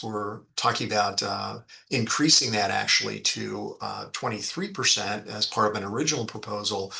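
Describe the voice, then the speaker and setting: A man giving an explanatory business presentation as a fund executive, speaking haltingly with frequent ums and repeated words. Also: on the low side, vocal fry, sharp tone. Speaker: fund executive presenting on an investor earnings call.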